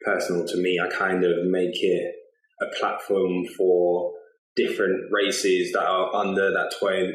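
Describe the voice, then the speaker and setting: A man with a deep voice co-hosts a podcast, asking questions casually. He sounds roomy and some distance from the mic. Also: flat delivery, a little slow. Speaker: podcast co-host.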